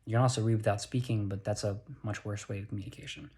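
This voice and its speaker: A man's voice: lowish voice, fairly even intonation, quick pace, dark tone. A tired young man with an American accent, talking casually into a handheld phone, earnest and rambling, correcting himself as he goes. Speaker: vlogger. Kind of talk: casual vlog monologue.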